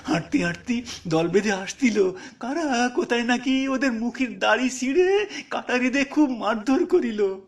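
A man voicing a poor, grieving village mother in a tearful, halting storytelling performance with a rural Bengali accent. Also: extremely high pitch, animated. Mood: sorrowful. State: crying.

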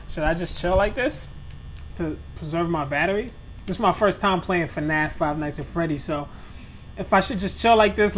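A man's voice: high-pitched, a bit bright, a little hiss or hum. A young man casually narrating his first run of a horror game, joking, swearing and talking straight to his viewers. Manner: loose, joking trash talk with slang and swearing. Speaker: gaming video creator. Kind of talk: live gameplay commentary.

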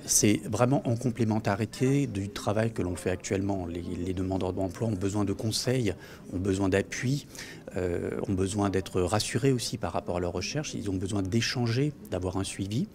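Official, man, 30s, very deep voice, measured and hesitant, interviewed in a busy office meeting room. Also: crowd noise. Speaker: employment agency official. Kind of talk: interview for a news report.

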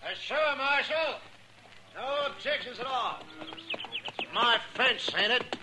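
High-voiced, haughty American rancher. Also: male voice, lively intonation, slow, full character voice. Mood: smug. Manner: haughty, insistent. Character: mean, grasping, stubborn male rancher. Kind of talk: 1950s radio western acting. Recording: noisy vintage 1950s radio recording.

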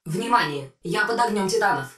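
A woman's voice: mid-range voice, expressive, fairly quick, a bit bright, roomy sound, distant mic, soft background music, lightly acted. An actress playing a composed female battle-robot AI in a video game, giving clipped, formal combat prompts to her pilot. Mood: composed, businesslike. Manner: clipped, formal, procedural announcing.

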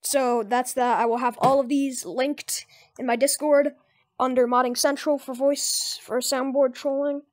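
A high-pitched tutorial host talks at a slow overall pace. The delivery is casual and rambling, with loosely strung instructions. The sound is dry and close. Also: little pitch movement, no room sound. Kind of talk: casual off-the-cuff software tutorial.

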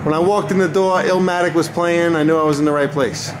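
A man casually narrating a handheld walking tour of a big record store, talking excitedly to his viewers. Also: a little high, fast, low-quality recording. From New York. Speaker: vlogger touring record stores. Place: large indoor record store. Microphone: handheld camera mic. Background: store music playing.

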